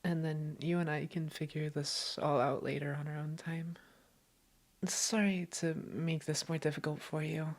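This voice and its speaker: Woman acting a sweet teasing girlfriend for roleplay audio, low voice, affectionate n reassuring. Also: steady tone, unhurried. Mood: gently apologetic. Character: doting, teasing girlfriend, gentle and attentive.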